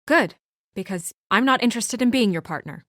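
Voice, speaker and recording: An actress playing a brisk, exasperated, dutiful young professional woman in a video game, speaking in a crisp, earnest, businesslike way. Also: fairly high pitch, expressive, fairly bright tone, no room sound, studio quality. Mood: irritated.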